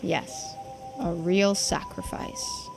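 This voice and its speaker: A woman's voice: lowish voice, drawn-out words, vocal fry, music in the background, full character voice. A woman voicing a folksy, earnest islander who explains old secrets in video game dialogue. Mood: grave.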